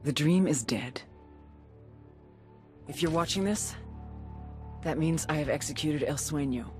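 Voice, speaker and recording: A woman's voice: deep voice, a little slow, warm tone. Tough, defiant female intelligence officer, blunt and intense, cinematic game drama, music underneath. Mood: bitter resolve. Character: hard-bitten female American intelligence officer, bitter and defiant. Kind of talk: cinematic video game acting.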